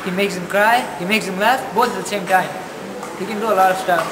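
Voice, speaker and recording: A young man giving an excited, praising testimonial. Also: high-pitched, quick pace. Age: young adult. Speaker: seminar attendee. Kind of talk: enthusiastic testimonial. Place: large indoor hall. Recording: distant, echoey hall with crowd and hiss.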